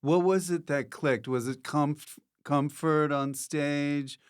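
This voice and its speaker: The smooth, lightly pitched man hosting a comedy podcast, needling his guest with playful asides. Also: fairly even intonation, slow pace. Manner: playful, joking interjections. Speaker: podcast host, interviewer. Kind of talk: casual interview chat.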